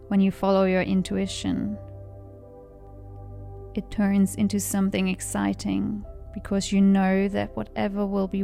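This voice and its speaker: Slow, creaky-voiced woman guiding meditation. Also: mid-range voice. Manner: calm, soothing, measured delivery. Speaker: meditation guide. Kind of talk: guided meditation narration. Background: background music.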